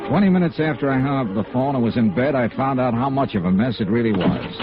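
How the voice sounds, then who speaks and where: An actor playing a tough, wry insurance investigator in a 1950s radio noir drama, with a clipped, hard-boiled delivery. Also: male voice, medium pitch, animated, fast talker, natural voice. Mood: terse, suspenseful. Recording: muffled old radio recording, faint noise.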